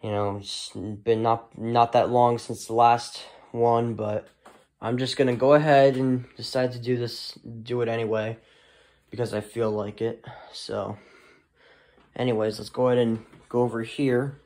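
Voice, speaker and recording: A man's voice: medium pitch, flat delivery, darkish tone. A man giving a casual, unscripted product showcase as a hobbyist, talking in a hesitant, rambling way. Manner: hesitant, halting, rambling delivery. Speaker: hobbyist vlogger showing a weather radio collection.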